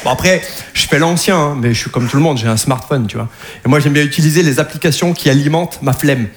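A man in his forties performing a stand-up routine on stage, teasing the crowd with lively asides. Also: a little high, fast. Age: 40s. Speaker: stand-up comedian. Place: comedy venue with live audience. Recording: live stage recording with audible room noise. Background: audience laughter.

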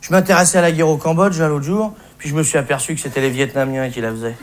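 A man's voice: a little high, fairly even intonation, quick pace. A male stand-up comedian delivering a colloquial, sweary, faux-naive rant on stage. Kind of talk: comic stage monologue. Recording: noisy live recording with audience. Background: audience laughter.

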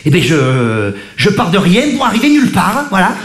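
A scatterbrained young woman contestant with a southern French accent, as a man puts her on, giggling and rambling in a high voice. The recording is roomy, with loud ambient noise behind it. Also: wildly swooping pitch, normal speed, exaggerated voice. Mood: giggly. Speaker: game show contestant. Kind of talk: comedy sketch.

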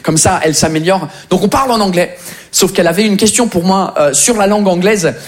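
A man in his thirties from England with an English accent, performing an animated stand-up routine that slips between French and English. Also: high-pitched, fairly quick. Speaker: stand-up comedian.

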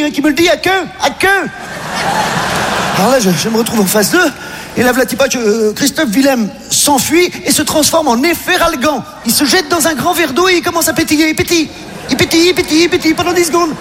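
Humoriste homme à voix très aiguë, hésitant, en salle réverbérante avec bruit de fond. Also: male voice, extremely high pitch, background noise. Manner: hesitant, halting with many fillers. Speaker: stand-up comedian. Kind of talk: absurd stand-up monologue. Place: stage before a live audience. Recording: live hall recording aired on radio.

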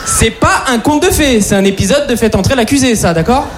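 Male stand-up, high and very animated, live room with crowd roar and noise. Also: fairly quick. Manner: animated, addresses audience directly. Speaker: stand-up comedian. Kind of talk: stand-up routine. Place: comedy stage before audience.

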